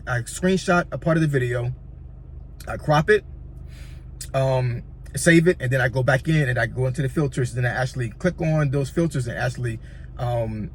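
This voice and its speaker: A man's voice: medium pitch, slight background noise. Casual Southern-accented man. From Virginia, USA. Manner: loose, rambling, repeats words, asks "you know what I'm saying". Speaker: YouTuber. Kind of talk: casual tutorial talk.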